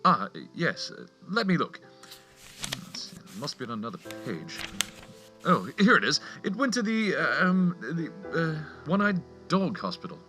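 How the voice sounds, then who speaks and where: Radio comedy: fawning, stammering office clerk acted by a man, sharp edge, extremely animated, rage simmering underneath. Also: on the high side, a little slow, soft background music, heavily acted. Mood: evasive, stammering. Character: two-faced, nervous male clerk with explosive rage. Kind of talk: comedic radio play parody acting.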